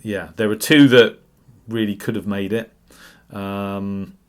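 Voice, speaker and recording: A British man with a fairly low voice talks through a review as an enthusiast would. His commentary is casual and unscripted. He gives his opinions in a measured way, pausing to find the right phrase. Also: fairly even intonation. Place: voice-over over still images.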